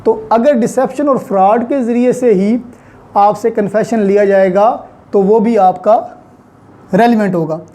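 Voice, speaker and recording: A man's voice: high voice, unhurried, mellow. A man with a Pakistani accent giving an explanatory law lecture, didactic, restating his points and mixing in English terms.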